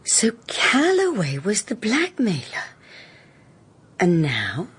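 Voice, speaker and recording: A woman with a British accent playing a brisk, inquisitive private detective in a radio drama, pointed and questioning. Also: medium pitch, wildly swooping pitch, slightly rough, exaggerated voice. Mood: incredulous.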